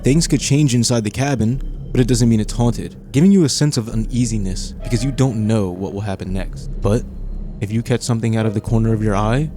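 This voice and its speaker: A man narrating a scripted video essay in a suspenseful storytelling manner. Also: lowish voice. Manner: suspenseful second-person storytelling.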